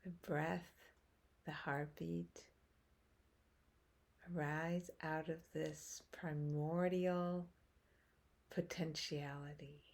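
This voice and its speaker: A woman guiding a meditation as a teacher, speaking in short phrases with long pauses and hushed endings. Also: low-pitched, level tone. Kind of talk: guided meditation, slow spoken instruction.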